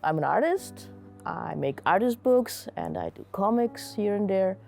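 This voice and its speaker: Middle-aged woman, soft-edged dark tone, conversational, reflective interview storytelling. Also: mid-range voice, lively intonation, measured pace, creaky, soft background music. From Germany. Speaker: interviewee, comic artist and curator. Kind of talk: casual interview, personal storytelling.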